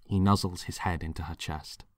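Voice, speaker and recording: A man's voice: deep voice, steady tone, normal speed. A man reading scripted dramatic narration in the present tense. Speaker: writer and narrator.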